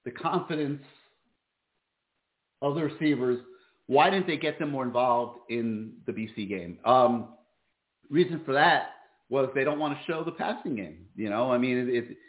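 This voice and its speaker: Hoarse sports call-in host, echoey lo-fi. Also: male voice, mid-range voice, moderate pace. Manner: relaxed, many fillers and restarts. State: losing his voice. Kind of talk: casual call-in radio talk.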